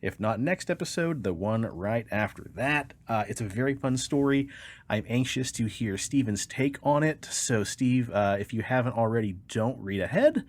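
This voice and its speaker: A man's voice: medium pitch, animated, normal speed. A man co-hosting a casual comedy podcast, chatting in a profane, jokey, suggestive way. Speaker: podcast co-host.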